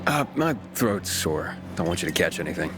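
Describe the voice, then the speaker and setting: An actor playing a calm young wandering pilgrim in a video game, talking in a casual, guarded, matter-of-fact way. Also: male voice, sits low, normal speed, over music. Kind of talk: naturalistic video game dialogue.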